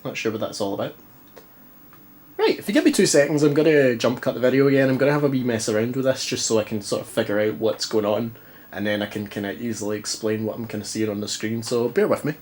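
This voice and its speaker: A man's voice: medium pitch, quick pace, darkish tone, slight background noise. Stuffy Scottish man, chatty and rambling. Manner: chatty, self-mocking, rambling. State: stuffy, congested. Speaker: retro game vlogger. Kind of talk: casual chat. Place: dim living room at a TV.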